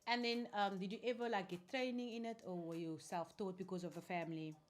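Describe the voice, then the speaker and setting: Fast-talking upbeat woman host. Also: mid-range voice, steady tone. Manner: upbeat, enthusiastic, slangy. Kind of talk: casual interview.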